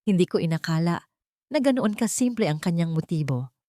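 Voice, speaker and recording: A woman's voice: lowish voice, lively intonation, fairly quick, dark tone, no echo, studio quality. A woman narrating a scripted drama in the first person as an audiobook storyteller.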